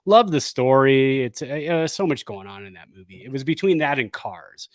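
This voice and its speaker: A middle-aged man talks casually as a sports podcast co-host. His pitch moves around a lot as he rambles with enthusiasm. The sound is dry and close. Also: expressive, normal speed, no echo. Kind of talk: casual chat.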